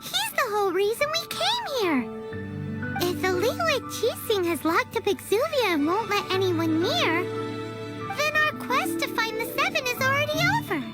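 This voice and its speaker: A woman voicing a tiny, bossy, childlike fairy companion in a video game cutscene, with a squeaky, excitable delivery. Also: measured pace, full character voice. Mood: agitated. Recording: music behind the voice.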